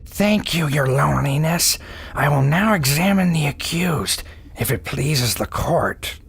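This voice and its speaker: An actress playing a sly, accusing prosecutor in a pulp audio drama, with a gravelly, husky rasp and theatrical delivery. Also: female voice, sits low, lively intonation, unhurried, heavily acted. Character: menacing, sly prosecutor of an underground mole court.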